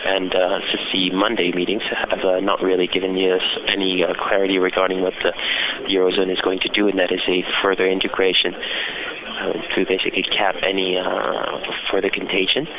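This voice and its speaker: A man giving market commentary as an interview guest over the phone, speaking in halting phrases with frequent uhs and repeated words. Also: sits low, monotone. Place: office, on a phone call. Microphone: telephone. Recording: tinny phone line with background chatter.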